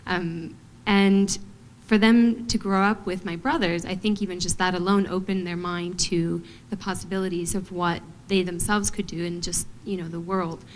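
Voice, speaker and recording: A young adult woman from Montana, a ballet artist answering on a panel in a thoughtful, measured way with frequent pauses and fillers. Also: lowish voice, fairly even intonation, a little hiss or hum, rough recording. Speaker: guest artist, ballet dancer.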